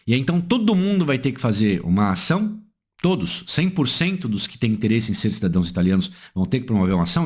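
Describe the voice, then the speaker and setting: Brazilian male legal analyst, animated intonation, rhetorical questions, emphatic lecturing delivery. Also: husky. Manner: asks rhetorical questions and answers them; emphatic, swings pitch up and down for stress.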